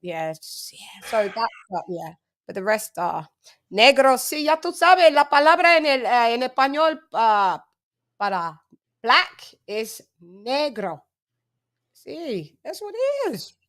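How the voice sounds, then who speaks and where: A woman's voice: on the high side, sing-song, slow, fairly bright tone. A woman with an English accent hosting a casual live chat, laughing often and switching between English and Spanish. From England. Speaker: livestream host.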